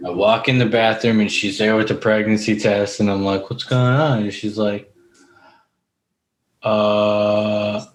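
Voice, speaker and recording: A man's voice: on the low side, little pitch movement, unhurried. A man chatting informally as a podcast host, telling personal stories with casual swearing. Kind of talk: casual chat with personal anecdotes. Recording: roomy, echoey room sound.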